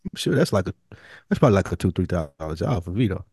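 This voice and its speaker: A man's voice: low voice, very animated, rapid-fire, a little mellow, breathy edge, dry recording, studio quality. A man co-hosting a casual TV recap podcast, talking in a slangy, profane way. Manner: slangy, profane, explains by acting out lines. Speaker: podcast co-host.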